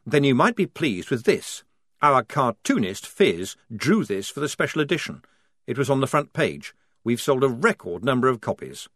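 A man's voice: mid-range voice, very animated, moderate pace, fairly bright tone, no echo, studio quality. A man voicing a nervous, eager male newspaper editor who talks in a polite, chatty, persuasive way. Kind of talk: audiobook character voice.

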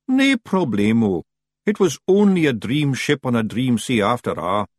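A man's voice: medium pitch, very animated, moderate pace, dry recording, clean studio sound. A man voicing a tiny, nervous, cheeky fairy man, wheedling and squirming. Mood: sheepish.

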